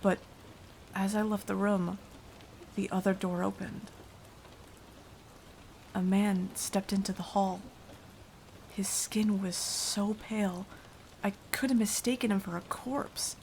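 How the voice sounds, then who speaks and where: Woman as a slow-spoken, haunted vampire victim. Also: medium pitch, steady tone, slight background noise. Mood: fearful, haunted. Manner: hushed, dramatic, deliberate storytelling. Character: frightened, self-sacrificing young woman recounting a vampire attack. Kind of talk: ASMR roleplay narration.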